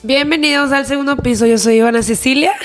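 A woman in her twenties with a Mexican accent and a husky voice, chatting casually and slangily as a podcast co-host, switching between two languages. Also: high-pitched, fast talker, soft background music. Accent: Mexican Spanish. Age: 20s. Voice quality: husky, hoarse by nature. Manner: slangy, informal. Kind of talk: casual chat, mixing Spanish and English.

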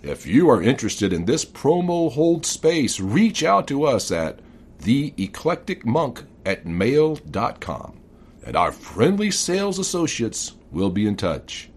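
A man reads a scripted promotional announcement in a formal, measured manner. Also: medium pitch, sing-song, husky.

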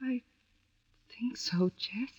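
An actress playing a frightened young heroine in an old radio thriller, breathless and pleading. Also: female voice, a little high, very slow, darkish tone, husky, full character voice. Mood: shaken, breathless. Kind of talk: 1940s radio acting. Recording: muffled vintage radio recording.

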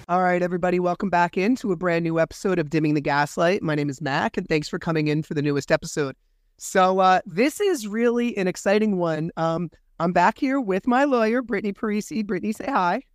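Raspy-voiced man hosting a podcast. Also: sits high, fairly quick, no room sound, clean studio sound. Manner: candid and animated, swears freely. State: under the weather, raspy voice. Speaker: podcast host. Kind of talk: casual podcast chat.

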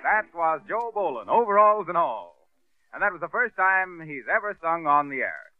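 A man announcing in a brisk, formal 1930s radio style. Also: fairly high pitch, sing-song. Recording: tinny old radio broadcast with music.

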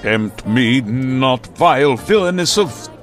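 An actor playing a grandiose, vain, aging masked vigilante hero in old-time radio style, pompous and melodramatic. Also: male voice, medium pitch, animated, slow pace, soft background music.

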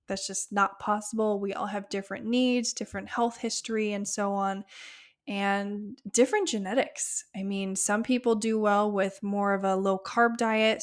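A woman hosting a solo health podcast, her voice a bit hoarse and giving out, talking casually through lists with small fillers. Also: medium pitch. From United States. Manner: conversational, list-like explanations with fillers. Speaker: podcast host, gut health practitioner.

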